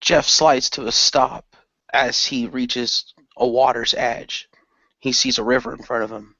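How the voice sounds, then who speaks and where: A man's voice: mid-range voice, fairly even intonation, unhurried, sharp tone, no echo, highs cut off. A man narrating his character's actions as a player in an improvised role-playing audio show. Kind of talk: improvised tabletop-style narration.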